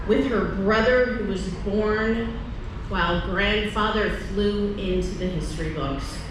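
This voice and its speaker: Very slow woman reading poetry, echoey room. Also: on the high side. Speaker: poetry reader. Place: reverberant room at a live reading. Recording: echoey room, distant mic, loud background noise.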